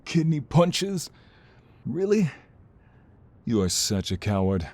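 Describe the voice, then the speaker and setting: Man acting a slow, defiant protective ex-mobster. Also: medium pitch, very animated, darkish tone. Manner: dramatic, halting, determined. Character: defiant, protective male ex-mobster fighting for his love. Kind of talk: audio roleplay drama acting.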